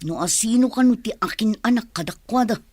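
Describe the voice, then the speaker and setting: Woman playing a high-voiced, evasive village woman. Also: fairly quick, darkish tone, dry recording, studio quality, lightly acted. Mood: defensive. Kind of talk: Filipino radio drama acting.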